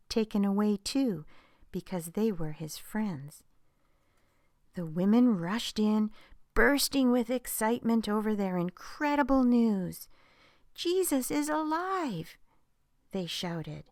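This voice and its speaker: Slow, gentle woman reading to kids. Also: mid-range voice, no room sound, clean studio sound. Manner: expressive storytelling, hushed whispers at dramatic moments. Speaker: children's story reader. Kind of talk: reading a Bible story aloud to children.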